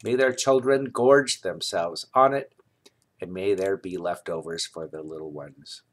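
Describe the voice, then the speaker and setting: A man reading scripture aloud in a solemn, deliberate manner, with measured phrases and pauses. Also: sits low. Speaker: scripture reader.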